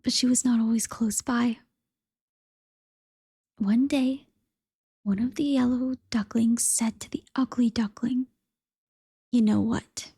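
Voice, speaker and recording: Soft whispering woman reading a story. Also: a little high, fairly even intonation, unhurried, pauses often, husky, no echo, clean studio sound.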